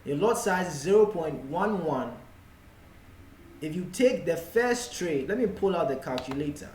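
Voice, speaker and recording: Ghanaian man, slow-talking tutor in echoey room. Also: fairly high pitch, expressive, darkish tone. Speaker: forex trading tutor. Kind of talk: explanatory tutorial talk, unscripted. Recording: echoey room with faint background noise.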